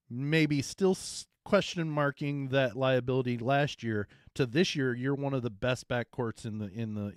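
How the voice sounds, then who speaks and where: A man who is a former coach co-hosting a sports talk show, with a deep voice and a rambling, emphatic manner. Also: clean tone, no room sound, studio quality. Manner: rambling, restarts often, emphatic.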